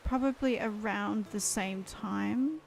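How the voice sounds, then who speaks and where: A woman's voice: mid-range voice, takes it slowly, gravelly, a little hiss or hum. A woman chatting casually on a game live stream, losing her voice and rambling as she thinks aloud.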